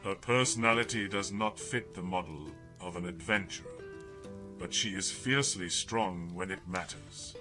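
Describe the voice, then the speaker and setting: Guildmaster for an audio RPG, male actor: low voice, brisk quest-giver, guitar music clearly behind. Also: natural voice. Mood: brisk, businesslike. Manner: brisk, instructing. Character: businesslike guildmaster handing out quests in a fantasy town.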